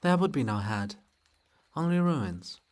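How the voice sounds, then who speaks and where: A man voicing a stern, grieving princess monument in a solemn, measured, formal stage reading. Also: medium pitch, big pitch swings, a little mellow, voice pushed down. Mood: grieving, tender. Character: Princess Olha, stern, grieving, proud monument of a female ruler.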